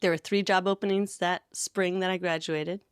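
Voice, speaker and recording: A woman's voice: on the low side, fairly even intonation, moderate pace, clear, resonant tone, dry recording, clean studio sound. An American woman in her thirties from Connecticut, telling her own story casually as an interview guest. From Connecticut, USA. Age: 30s. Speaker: podcast guest, campus ministry worker.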